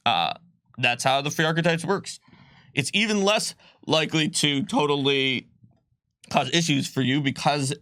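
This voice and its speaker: Bright-voiced man explaining game rules. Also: a little high, no room sound, clean studio sound. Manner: rambling, quick, full of likes and ums. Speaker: game designer presenting rules. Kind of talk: casual livestream rules explainer.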